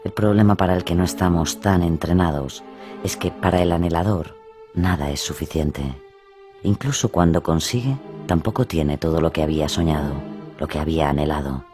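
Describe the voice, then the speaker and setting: A man with a remarkably low voice reads a reflective script. His narration is measured and solemn, with soft music underneath.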